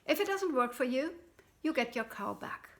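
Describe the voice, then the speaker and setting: A woman's voice: sits high, expressive, normal speed, a bit bright, voice pushed up. A woman voicing a tiny, mysterious man, a friendly and persuasive trader in a fairy tale.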